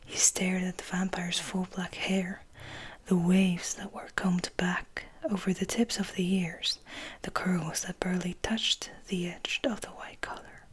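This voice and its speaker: A woman reading a story aloud in a gentle, hushed, breathy ASMR whisper. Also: fairly low pitch, little pitch movement, measured pace.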